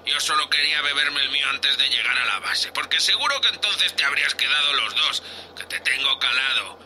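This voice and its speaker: Male actor as drunk insolent crewman, Spain Spanish, petulant, sarcastic, lively intonation, sci-fi audio drama, noisy ship ambience. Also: mid-range voice, brisk. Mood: sulky, resentful. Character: drunk, sulky, whining spaceship crewman, insolent to his captain.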